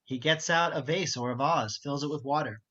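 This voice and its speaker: A man's voice: mid-range voice, a little fast, bright, forceful tone. A man reading out stage directions as the narrator of an amateur script reading. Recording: dull, muffled home recording.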